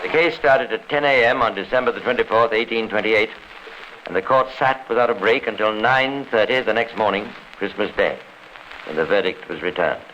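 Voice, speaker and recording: A grave, authoritative narrator tells a radio crime story with formal drama. The voice is sharp and forceful, on an old recording with slight background noise. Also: male voice, fairly low pitch, brisk, highs cut off. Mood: grave, dramatic. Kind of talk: 1950s radio scripted narration.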